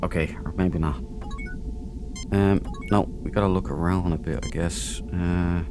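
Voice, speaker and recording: A man's voice: sits low, fairly even intonation, unhurried, over music. A man giving casual, playful let's play commentary with chatty, joking asides. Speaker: gaming host. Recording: clear background noise under voice. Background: game ambience.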